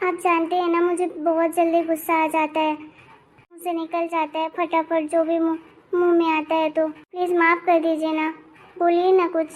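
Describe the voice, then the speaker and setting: A woman plays a young married daughter in a cartoon family drama, extremely high in pitch. She is hot-tempered and sulky, with a sharp, demanding tongue, and stays in a narrow band of notes. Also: little pitch movement, moderate pace. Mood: apologetic. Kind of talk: animated family drama dialogue.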